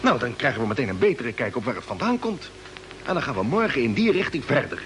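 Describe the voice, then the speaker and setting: Resolute space commander in an old radio play, patient and firm, very varied pitch, noisy recording. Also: male voice, very animated, quick pace, fairly bright tone. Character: Jeff, steady, resolute male Mars expedition commander. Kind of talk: old Dutch radio drama acting.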